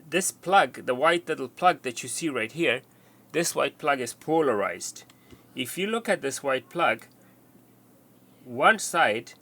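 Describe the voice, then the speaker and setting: Male tutorial presenter, mid-pitched and bright, explaining hands-on steps off the cuff, with repeats and rephrasing. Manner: halting, repeats and rephrases instructions.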